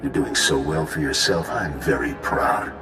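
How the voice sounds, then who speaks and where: Smug menacing traitor commander in a game, deep, self-righteous, music behind. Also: male voice, animated. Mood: mocking, smug. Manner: mocking, self-righteous, deliberate. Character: bitter renegade male commander, smug menacing villain. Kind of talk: video game cutscene acting. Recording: roomy echo.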